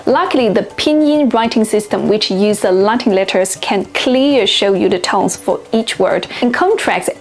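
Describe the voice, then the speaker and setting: A young woman, a native Chinese speaker, narrating a scripted language lesson and slowing down carefully on example words. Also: mid-range voice. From China. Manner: clear, deliberate, slows down to pronounce example words. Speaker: language teacher and video host.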